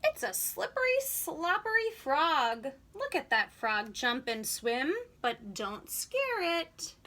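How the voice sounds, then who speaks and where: Animated, high-pitched woman reading to children. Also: takes it slowly. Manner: animated, sing-song, expressive delivery. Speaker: read-aloud storyteller for young children. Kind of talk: children's picture book read-aloud.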